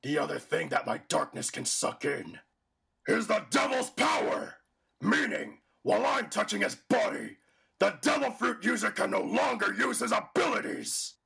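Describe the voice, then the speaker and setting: A man playing a boastful, menacing pirate villain in an anime fan dub, with a gravelly, growling, theatrical delivery. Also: sits high, very animated, moderate pace, sharp tone, exaggerated voice. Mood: gloating. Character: gleeful, boastful hulking pirate villain wielding darkness.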